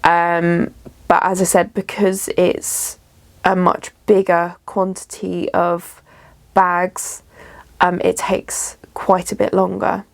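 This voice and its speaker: A woman's voice: on the low side, little pitch movement, slow, gravelly. A woman vlogging casually from her home craft studio, talking through her work with plenty of ums and restarts, a little bunged up. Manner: chatty, many ums and restarts. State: slightly bunged up from a runny nose. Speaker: small business vlogger. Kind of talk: casual vlog chat to camera.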